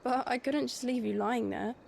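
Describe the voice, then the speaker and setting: An actress playing a kindly, humble young village healer in a video game, speaking gently and earnestly. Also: female voice, on the high side, fairly even intonation, normal speed, vocal fry, a little hiss or hum. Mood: gentle, hopeful. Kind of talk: dramatic video game acting.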